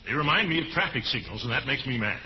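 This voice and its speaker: An actor playing a blustery, jovial, thrifty middle-aged bachelor uncle in a 1940s radio comedy, with a drawn-out delivery. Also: male voice, mid-range voice, expressive, close to an everyday voice. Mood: haggling. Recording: dull, hissy vintage radio recording.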